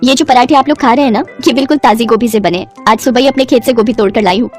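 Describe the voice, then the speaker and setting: A woman's voice: on the high side, quick pace, a little mellow, soft background music, lightly acted. A woman voicing a kind, humble old widow who sells food from a cart, with homely, affectionate speech in a story. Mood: warm, humble.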